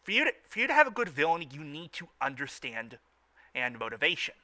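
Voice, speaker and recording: A man's voice: on the high side, big pitch swings, a little fast, pushed and bright. A man in a casual fan discussion as a podcast co-host, often stammering and repeating words and phrases as he goes. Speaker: podcast co-host reviewing a cartoon.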